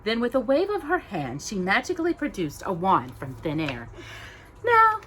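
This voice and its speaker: Woman reading a kids story out loud, really expressive, bright voice, big dramatic emphasis. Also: mid-range voice, normal speed, a little hiss or hum, poor audio. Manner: dramatic emphasis on descriptive words. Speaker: storytime host reading aloud. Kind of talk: expressive children's storybook narration.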